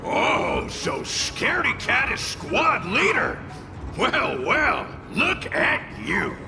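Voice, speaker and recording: A man voicing a cranky, cocky spirit companion in a video game, brash and gravelly. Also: very high voice, wildly swooping pitch, slow, exaggerated voice. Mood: brash, indignant. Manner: brash, swooping, exclaiming. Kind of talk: scripted video game voice acting. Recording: voice under prominent music.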